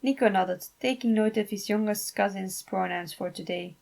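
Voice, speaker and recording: Woman, story narrator, medium pitch, noticeably creaky voice, scripted amateur reading. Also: moderate pace, darkish tone. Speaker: fan fiction writer and narrator.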